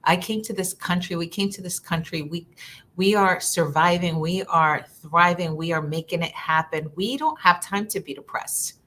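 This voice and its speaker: A woman from Ecuador speaks earnestly about her work, restarting phrases as she gathers her thoughts. Her voice sits a little low. A faint rumble runs under the recording. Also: normal speed, pushed and bright. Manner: earnest, repeats words while thinking, slips into Spanish for quotes. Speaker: guest social worker. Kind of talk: live online conversation.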